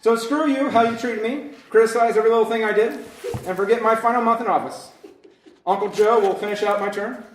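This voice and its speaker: A man's voice: high voice, fairly quick, natural voice. Man playing a fed-up, foul-mouthed president, echoey. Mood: defiant, fed up. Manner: sweary, sarcastic, defiant. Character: exasperated, foul-mouthed departing American president, ranting bluntly. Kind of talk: comedy sketch in old-time radio variety show. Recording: echoey room, distant from mic.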